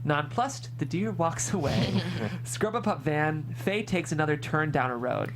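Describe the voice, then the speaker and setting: A man's voice: fairly high pitch, expressive, measured pace, noisy background. A man reading stage directions as scripted narration at a screenplay table read.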